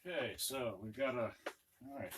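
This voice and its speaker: A man talks through a hands-on gadget demo in a casual, muttering way, as if half to himself. His voice sits at a middling pitch. The recording is clearly noisy, with clicks from handling. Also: normal speed. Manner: muttering to himself while working. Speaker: electronics unboxing presenter. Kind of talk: casual hands-on demonstration commentary. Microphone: camera on a tripod.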